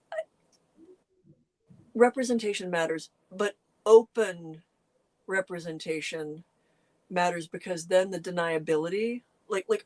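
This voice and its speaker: A middle-aged co-host chatting casually, telling stories earnestly with lively jumps in pitch and frequent restarts. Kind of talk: casual conversational chat.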